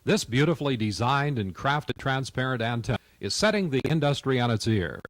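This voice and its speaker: A man's voice: medium pitch, expressive, a little fast, no echo. A man reading a product commercial with punchy salesman delivery. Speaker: commercial announcer. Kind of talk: scripted ad read.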